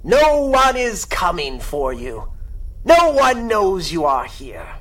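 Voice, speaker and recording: A sadistic pulp supervillain toys with his victim in a radio play. The delivery is slow and theatrical, swinging widely in pitch, mocking and gloating, heard slightly away from the mic. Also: huge pitch swings, fairly bright tone, recorded from a distance, full character voice. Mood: gloating, menacing. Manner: mocking, drawn-out, theatrical, frequent cackling. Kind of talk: radio drama acting in 1930s pulp style.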